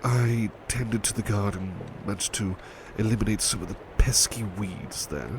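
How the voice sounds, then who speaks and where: A man playing a gentle, devoted butler in an intimate ASMR roleplay, speaking politely and tenderly with a hesitant stammer. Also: fairly low pitch, moderate pace, noisy background, natural voice. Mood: tired, chatty. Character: gentle, devoted, flustered male butler, tired and affectionate.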